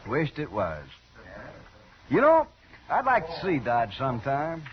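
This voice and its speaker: An actor playing a folksy, evasive small-town barkeep in an old radio western, on a dull vintage recording. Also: male voice, fairly high pitch, huge pitch swings, slow pace, exaggerated voice. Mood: folksy, curious. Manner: folksy, drawling, hesitant when covering up. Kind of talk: 1950s radio western acting.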